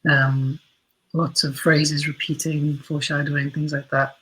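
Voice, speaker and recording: A man gives casual, thoughtful interview answers, often circling back on his words. His voice is a little high and husky, with a bright edge and very even intonation. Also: unhurried, low-quality recording. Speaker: podcast guest, audio drama writer.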